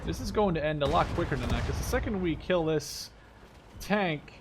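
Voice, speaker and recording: A man's voice: on the high side, animated, moderate pace, slight background noise. A man in his twenties giving casual gameplay commentary, thinking aloud informally as he plays. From Netherlands. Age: 20s. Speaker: gaming video host.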